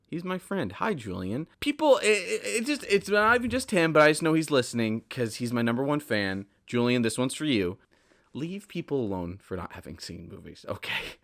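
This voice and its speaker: A man's voice: on the high side, fairly bright tone. A man in his twenties hosting a podcast, chatting casually and emphatically in a rambling solo monologue, repeating himself, with a slightly stuffy nose. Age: 20s. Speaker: podcast host.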